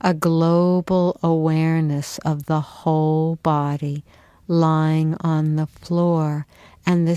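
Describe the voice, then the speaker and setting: Low, slow, mellow woman guiding meditation. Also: clear, resonant tone, no room sound. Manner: soothing, unhurried, instructional. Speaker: yoga nidra teacher. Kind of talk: guided relaxation meditation.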